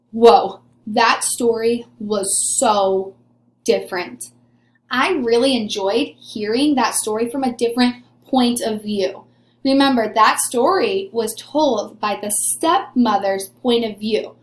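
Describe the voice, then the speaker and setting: A woman teacher with a high voice talks a class of young children through a story. She is conversational, repeats key points for emphasis and puts questions to her listeners. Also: unhurried, echoey room. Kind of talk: children's read-aloud lesson discussion.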